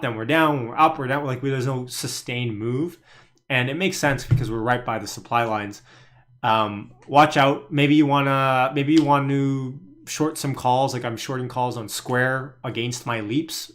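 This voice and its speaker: A man's voice: medium pitch, fairly bright tone. Chatty man reading live chat. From Canada. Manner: chatty, laughs while reading chat aloud. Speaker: livestream host and day trader. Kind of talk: casual live-stream market commentary.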